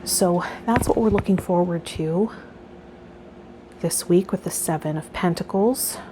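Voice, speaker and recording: A woman's voice: fairly low pitch, unhurried, background noise. A woman speaking as a tarot reader and spiritual coach, moving between reading aloud and casual guided talk to her viewers. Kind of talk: tarot lesson, partly read aloud, with guided meditation and casual vlog talk.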